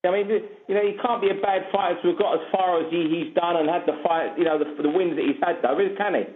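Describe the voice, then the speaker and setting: A British man asks questions as a reporter, speaking rapidly in a fairly high voice. He is heard over a thin, echoing telephone connection. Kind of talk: asking questions on a conference call. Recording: echoey, thin phone line.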